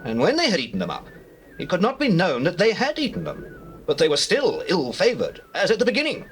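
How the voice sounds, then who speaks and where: A man's voice: high voice, wildly swooping pitch, a little fast, soft background music, full character voice. An actor with a British accent playing a troubled, commanding king of Egypt in a declamatory scripture drama. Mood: troubled, intense. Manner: declamatory, theatrical. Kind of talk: dramatized scripture acting in archaic English. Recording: noisy old cassette tape.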